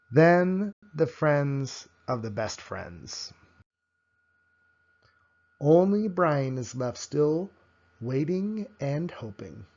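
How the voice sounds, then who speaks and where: A man reading a children's picture book aloud in a measured, expressive storytelling manner. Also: on the high side, highs cut off. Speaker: storybook reader.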